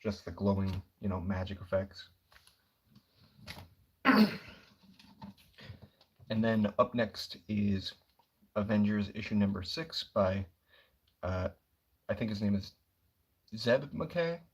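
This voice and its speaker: A young man talking quietly and casually on a livestream, rambling about comic books with lots of fillers. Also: on the low side, takes it slowly, pauses often, slightly rough, dull audio. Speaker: comic book streamer.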